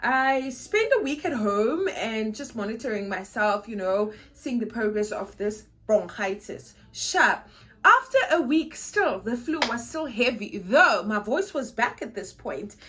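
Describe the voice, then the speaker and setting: A woman's voice: on the high side, lively intonation, a little slow, bright, forceful tone. A young South African woman, a native Xhosa speaker, chatting casually to camera in a vlog, repeating words and laughing easily. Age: young adult. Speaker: vlogger.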